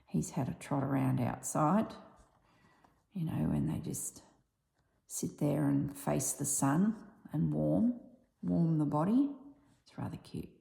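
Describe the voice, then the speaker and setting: An Australian woman with a husky, slightly strained voice chatting casually while she stitches, softly dropping toward a whisper at times. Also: deep voice, very animated, takes it slowly, pauses often. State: voice strained from yelling. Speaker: embroidery vlogger. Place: tiled room with little on the floor. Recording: echoey tiled room.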